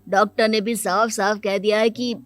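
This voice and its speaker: A woman voicing a gentle, earnest young married man, the younger brother in a cartoon family story. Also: medium pitch, flat delivery, moderate pace, natural voice. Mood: sorrowful. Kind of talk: acted Hindi moral cartoon story. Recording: music under the voice.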